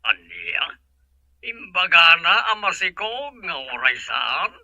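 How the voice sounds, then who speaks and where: A man's voice: a little high, very animated, slow, creaky, sharp tone, no echo, full character voice. A man with an Ilocano accent playing an insistent businessman father on the telephone in a radio drama. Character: busy businessman father pressing his son. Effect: telephone filter. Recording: muffled, dry telephone sound.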